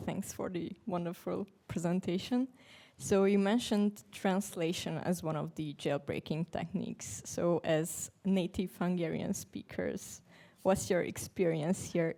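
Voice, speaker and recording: A woman's voice: fairly low pitch, gravelly, dark tone. A woman in the audience asking a friendly, polite question after a talk. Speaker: audience member.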